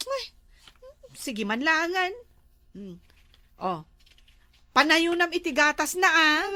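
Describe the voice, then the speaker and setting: A woman playing a sociable, nagging, jealous wife in an Ilocano village comedy drama, speaking with many drawn-out exclamations. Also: high-pitched, heavily acted. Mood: teasing.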